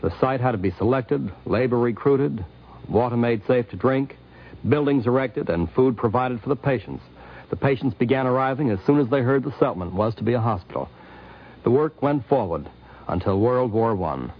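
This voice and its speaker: A man's voice: mid-range voice, animated, normal speed. A man hosting and narrating a scripted radio documentary in a muffled 1950s broadcast recording. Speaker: host and narrator.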